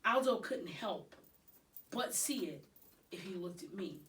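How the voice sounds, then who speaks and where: Slow-reading woman, distant mic. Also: fairly low pitch, lively intonation, a bit bright, a little hiss or hum. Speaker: storybook reader. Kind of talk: expressive read-aloud of children's novel.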